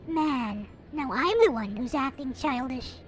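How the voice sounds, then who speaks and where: Female narrator, high and lively, theatrical read-aloud with playful asides, music underneath. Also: unhurried. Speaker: host reading a visual novel aloud. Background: background music.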